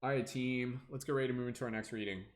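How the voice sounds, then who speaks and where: A man's voice: mid-range voice, fairly even intonation, fast talker. A young man hosting a casual tarot livestream, rambling with many fillers and humming to himself between comments.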